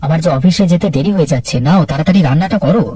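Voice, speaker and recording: A man's voice: a little high, rapid-fire, dark tone, slightly rough, no room sound, recorded from a distance. A man voicing a young married office worker in a cartoon, impatient, troubled and complaining in his frustration. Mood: impatient, irritated. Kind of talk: cartoon dialogue acting.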